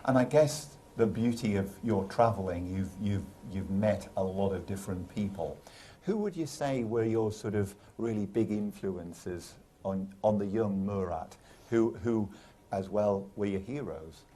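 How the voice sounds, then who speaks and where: A man from the United Kingdom interviews a colleague in a low, mellow voice. He asks encouraging questions, often hesitating and restarting, and a faint room hum sits behind him. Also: measured pace. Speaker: interviewer, neurologist. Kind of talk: oral history interview.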